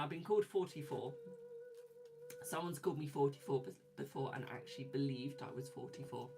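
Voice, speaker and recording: Young male tarot reader live-streaming: chatty, quick to laugh, mid-range voice, music underneath. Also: mid-range voice. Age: 20s. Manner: chatty, reads viewer comments aloud, laughs often. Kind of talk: live stream chat with viewers.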